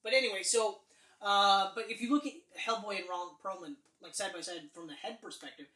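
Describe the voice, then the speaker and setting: A man's voice: high-pitched, steady tone, a little fast, sharp tone. A man co-hosting a podcast, chatting casually about movies in a rambling way, full of fillers and restarts. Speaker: podcast co-host. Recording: roomy echo, mic distant.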